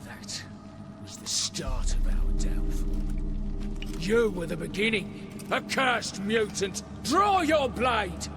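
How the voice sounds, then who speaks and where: A proud bitter knight, slow n aggrieved speechy delivery, game music behind him. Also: male voice, high voice, very animated, heavily acted. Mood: outraged. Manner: solemn, aggrieved, oratorical. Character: embittered, proud rogue knight of a fallen order. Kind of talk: video game voice acting.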